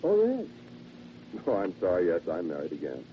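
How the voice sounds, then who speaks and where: An actor playing a polite, halting, quietly sinister henpecked salesman in a theatrical vintage radio horror monologue. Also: low-pitched, huge pitch swings. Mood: chatty, genial. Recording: noisy, dull vintage radio recording.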